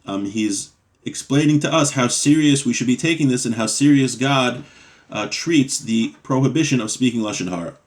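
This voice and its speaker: A man's voice: mid-range voice, normal speed. A man leads a weekly religious study class in a mid-range voice. He reads aloud from a Hebrew text and then explains it in English. His tone stays conversational, often asking "right?". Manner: conversational, frequent "right?" and "you know". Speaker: Torah class teacher.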